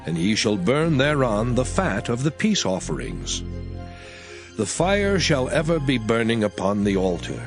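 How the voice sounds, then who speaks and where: A man reading scripture aloud in a measured, expressive way. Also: mid-range voice. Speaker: scripture reader. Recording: voice over clear background music.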